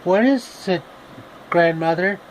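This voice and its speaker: A man's voice: high-pitched, takes it slowly, voice pushed up, low-quality recording. A man voicing a young, questioning mermaid heroine in a comic fairy tale. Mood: curious. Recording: steady background noise.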